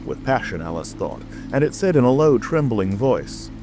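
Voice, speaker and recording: A man's voice: medium pitch, big pitch swings, normal speed. A man narrating a scripted storybook as a dramatic audiobook reading. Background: music, rain ambience.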